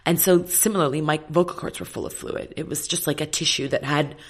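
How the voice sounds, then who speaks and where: A woman in her thirties, an opera singer, talking as an interview guest. Her voice is slightly husky today, and she tells stories in a reflective, lively way with plenty of fillers. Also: deep voice, fairly quick. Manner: reflective, animated storytelling with many fillers. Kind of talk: casual interview chat.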